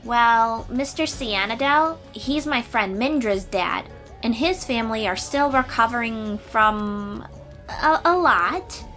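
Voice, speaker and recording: A woman's voice: a little high, slow pace, fairly bright tone. A woman playing a confident, eager young sea-dweller warrior in a fantasy audio roleplay, speaking expressively and informally to the listener. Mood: earnest, determined. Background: music.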